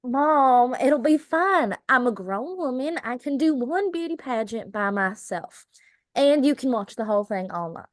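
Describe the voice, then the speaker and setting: A woman's voice: sits high, animated, a little slow, dry recording, clean studio sound. A woman playing a self-assured grown daughter who competes in pageants, at a screenplay table read. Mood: exasperated, breezy.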